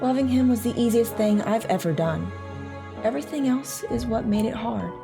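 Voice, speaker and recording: A woman's voice: on the high side, lively intonation, moderate pace, vocal fry, over music. A woman playing a devoted soldier's wife and mother in an audio drama, telling her story tenderly and reflectively. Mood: sad, reflective.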